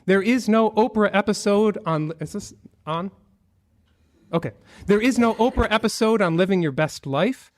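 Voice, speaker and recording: A man's voice: high-pitched, a little mellow, dry recording. Male minister, conversational sermon, light wry humor, dry pickup. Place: church sanctuary.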